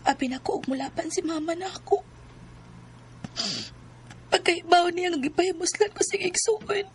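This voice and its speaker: Troubled younger sister in bisaya radio soap, really high pitched, bit of noise in the background. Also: female voice, moderate pace. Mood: bitter, tearful. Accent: Bisaya (Cebuano) Filipino. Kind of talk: Filipino radio drama acting.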